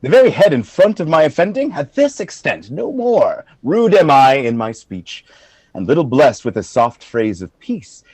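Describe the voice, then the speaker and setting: A man playing a valiant, dignified general in a Shakespearean radio play, speaking in measured, earnest oratory. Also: on the high side, very animated, a little mellow, husky, no room sound. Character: valiant, dignified male general, frank and composed. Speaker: amateur actor in an online radio play. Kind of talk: Shakespearean verse acting for radio.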